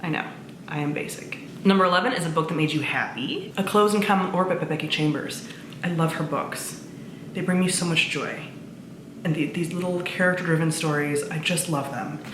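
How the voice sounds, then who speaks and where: A woman's voice: deep voice, a little fast. A woman chatting casually as a video host, gushing and informal in her enthusiasm. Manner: gushing, informal, mild swearing. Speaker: book reviewer, video host. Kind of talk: casual chat to camera. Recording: echoey room, faint background noise.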